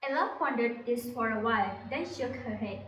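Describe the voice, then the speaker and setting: A woman's voice: a little high, animated, moderate pace, fairly bright tone. A young adult woman narrating a scripted story as readers' theatre. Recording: echoey room, distant mic, faint noise.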